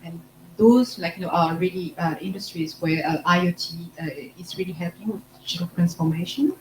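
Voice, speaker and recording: A woman's voice: lowish voice, brisk, a little mellow. A woman from France with a French accent, talking as a marketing panelist and scattering "like, you know" through her sentences. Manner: heavy use of "like, you know" fillers. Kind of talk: panel discussion. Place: conference panel room. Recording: echoey room, faint hum.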